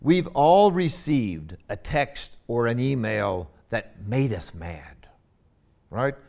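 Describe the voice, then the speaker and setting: Loud, emphatic man giving a lecture. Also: mid-range voice, slow pace, gravelly. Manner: loud voice, emphatic and animated, with many fillers. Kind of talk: guest lecture on etiquette. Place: university lecture hall.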